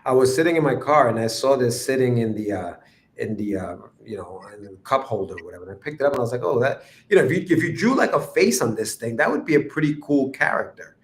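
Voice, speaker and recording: Give me a relaxed man in an echoey room. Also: medium pitch, fast. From Ecuador. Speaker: guest cartoonist. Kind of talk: casual interview chat. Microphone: remote livestream call.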